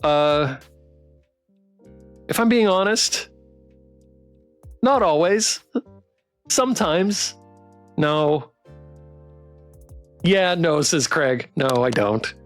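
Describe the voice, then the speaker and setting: A man's voice: a little high, expressive, drawn-out words, long pauses. A man streaming a game playthrough, chatting casually, reading narration and thinking aloud. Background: music.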